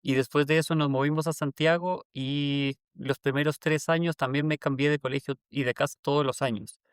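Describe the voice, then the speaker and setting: A young man from Colombia and Chile, chatting easily as an interview guest. His voice sits fairly high and sounds crisp and resonant, with lots of fillers and restarts. The recording is dry and close, with no room sound. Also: moderate pace, no room sound. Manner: relaxed storyteller, frequent fillers and self-corrections. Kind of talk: casual interview conversation.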